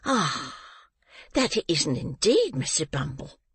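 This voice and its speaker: A fawning, gushing woman who keeps a foster farm, as a male audiobook reader puts her on. She coos and exclaims with wide swoops up into a high register, drawn out slowly. The sound is close and dry. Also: huge pitch swings, fairly bright tone, husky, no echo, exaggerated voice. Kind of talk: audiobook character reading.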